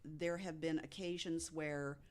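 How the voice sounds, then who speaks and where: A woman co-hosting a podcast, telling a ghost story conversationally, step by step with dramatic pauses. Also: sits low, steady tone. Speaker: podcast guest co-host.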